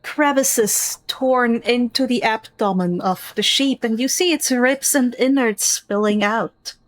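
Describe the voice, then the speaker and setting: Woman, game master, descriptive tabletop narration, fairly high pitch, very slow delivery. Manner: descriptive, deliberate.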